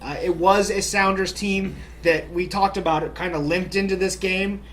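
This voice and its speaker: Upbeat male soccer-show co-host, high and chatty, faint hiss behind. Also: fairly even intonation, normal speed, fairly bright tone. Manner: upbeat, chatty, many "you know" fillers. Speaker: podcast co-host. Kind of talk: casual sports chat.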